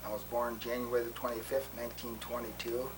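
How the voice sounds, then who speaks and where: A man's voice: medium pitch, little pitch movement, brisk, a little hiss or hum, poor audio. An older man from Colorado giving a plain, matter-of-fact recalled testimony as an interviewee. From Colorado, United States.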